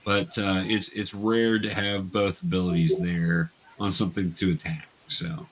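A man's voice: low voice, level tone, a little slow. A man running a tabletop game for young beginners as game master, patiently explaining each step.

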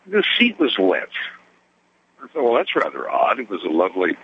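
A man, a truck driver, calling in to a radio show and telling a story in a genteel, lively way, with little laughs. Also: low-pitched, normal speed, muffled recording, slight background noise. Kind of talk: telling a story on a phone call-in.

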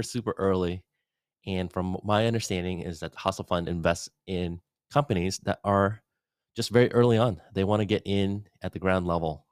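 Deep-voiced man in a dry home studio. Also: clear, resonant tone, no echo. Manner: relaxed, rambling, upbeat. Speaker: podcast host giving an update. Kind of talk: casual solo update. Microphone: studio dynamic mic at desk.

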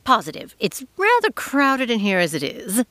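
A woman's voice: fairly high pitch, huge pitch swings, fairly bright tone, no room sound. A woman playing the composed, politely impatient leader of a witch band in a comic audio drama. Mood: dry, impatient. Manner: dry, measured, politely impatient. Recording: music underneath.

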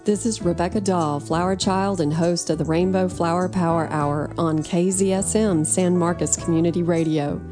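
A woman's voice: lowish voice, fairly even intonation, moderate pace, few pauses, music in the background. A woman reading a promo spot for her community radio show. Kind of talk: station promo.

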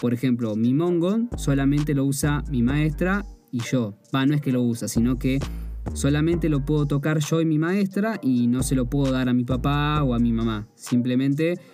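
Mellow-voiced young Argentine man explaining. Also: mid-range voice. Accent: Argentine Spanish. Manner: measured, explains terms with "o sea" asides. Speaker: martial arts practitioner presenting. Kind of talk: explanatory presentation. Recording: faint guitar music behind.

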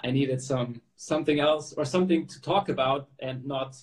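A man's voice: mid-range voice, moderate pace. Upbeat male podcast host. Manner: friendly, upbeat, repeats words. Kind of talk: casual interview hosting.

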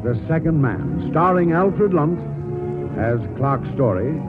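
Loud music sits behind a male announcer on a muffled vintage broadcast. His voice is a little high, in formal 1940s radio announcing style. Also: sing-song, slow.

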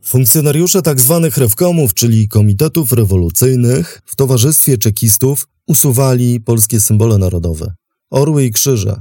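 A man with a warm, mellow, mid-pitched voice narrates history as a host. His delivery is measured and articulate, recorded close and dry. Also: expressive, no echo, soft background music. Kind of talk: scripted history documentary narration.

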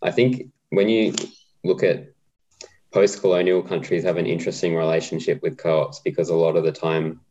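Very deep-voiced man, flat delivery. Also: normal speed, dull audio, a little hiss or hum. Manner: relaxed, hesitant with many ums. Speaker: guest, co-op policy adviser. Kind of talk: casual interview chat.

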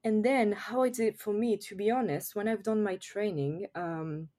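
French-accented woman, mellow voice. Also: mid-range voice, moderate pace. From France. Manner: chatty, open, frequent fillers like "you know". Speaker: yoga teacher, guest. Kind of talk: casual podcast interview.

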